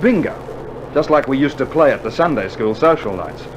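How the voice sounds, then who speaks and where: Man acting a cheeky Australian soldier, very animated. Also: mid-range voice, a little fast, lo-fi sound, close to an everyday voice. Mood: mock innocent, sardonic. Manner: dry, sarcastic, drawling banter. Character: cheeky, skeptical young Australian infantry soldier, needling his mate. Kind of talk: scripted mid-century radio drama acting. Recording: noisy old vinyl radio drama recording.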